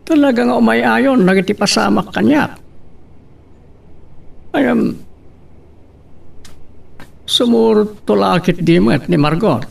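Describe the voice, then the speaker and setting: A man's voice: sits high, slow, gravelly, long pauses, slightly rough, recorded from a distance, exaggerated voice. A man acting a middle-aged wealthy businessman and father in a Filipino radio drama, brusque and commanding, with a Filipino accent. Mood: brooding, scheming.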